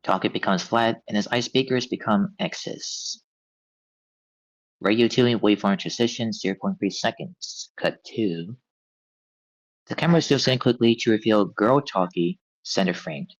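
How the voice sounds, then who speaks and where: A man's voice: lowish voice, normal speed, no room sound. A man reading script directions aloud at a table read, on a slightly dull recording. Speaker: stage-direction reader.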